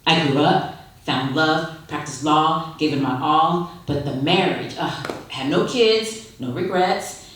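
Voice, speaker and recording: A woman giving an expressive live reading of a literary story, with dramatic phrasing and deliberate pauses. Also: low-pitched, a little hiss or hum. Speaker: story reader, actress. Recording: very echoey hall, distant microphone.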